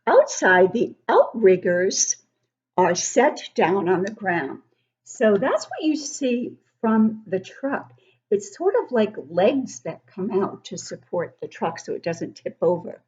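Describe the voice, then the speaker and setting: A woman reads a children's picture book at an unhurried pace, her pitch moving in lively swings. She stops to explain things simply for young listeners. The audio is somewhat dull and lo-fi. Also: breathy edge. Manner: animated, explanatory, child-directed. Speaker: read-aloud book club host for young children.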